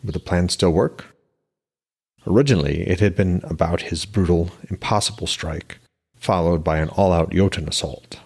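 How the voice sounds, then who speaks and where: A man's voice: low-pitched, creaky, husky. A man hosting a fiction podcast, speaking in a scripted narration style as an author reading his fantasy work. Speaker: podcast host, author reading own novel.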